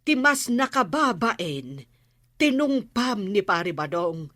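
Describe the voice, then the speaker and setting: A woman's voice: medium pitch, big pitch swings, slow pace, a bit bright, no room sound, studio quality, heavily acted. A woman acting a scolding, sarcastic village captain's wife in a radio comedy drama, with an Ilocano accent.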